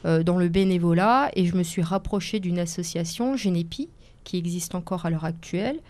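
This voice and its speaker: Woman, 40s, native Italian speaker, radio guest, hesitant, lots of fillers, mellow voice, dry studio sound. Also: lowish voice, normal speed, dry recording. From France, Seine-et-Marne. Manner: hesitant, many fillers and restarts, careful phrasing. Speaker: lawyer and author guest. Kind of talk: radio interview answers.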